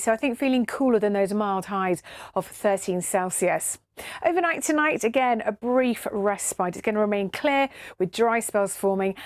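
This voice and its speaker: A woman's voice: mid-range voice, no room sound, clean studio sound. A woman presenting a broadcast weather forecast.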